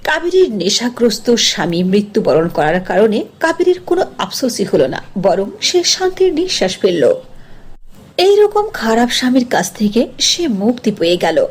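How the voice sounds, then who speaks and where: A woman's voice: on the high side, very animated, normal speed, breathy edge. A woman narrating a scripted cartoon story as its storyteller.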